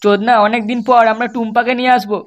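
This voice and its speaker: A man's voice: extremely high pitch, little pitch movement, rapid-fire, a little hiss or hum. A man voicing a bossy, foul-mouthed young club leader who scolds brashly in a comedy cartoon. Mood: bossy, scolding.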